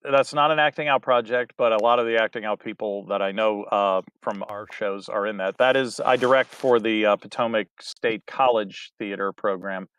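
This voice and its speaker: A man's voice: on the low side, moderate pace, hardly any pauses, fairly bright tone, clear, resonant tone, poor audio. A man in his forties, a theater director, answering interview questions in a chatty, rambling way with many fillers.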